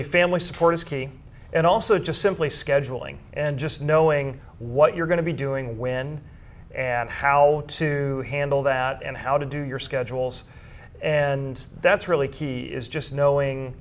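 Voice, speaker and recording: A man with a medium-pitched voice speaks as an interview guest. He is a school sports information director, explaining things in a measured, conversational way.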